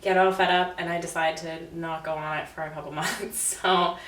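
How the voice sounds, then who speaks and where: A woman's voice: on the low side, fairly even intonation, moderate pace, fairly bright tone. A young woman, a bit stuffed up and congested, chatting casually to camera in a self-deprecating, laughing way as a beauty vlogger at home. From Canada. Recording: roomy, slightly distant audio.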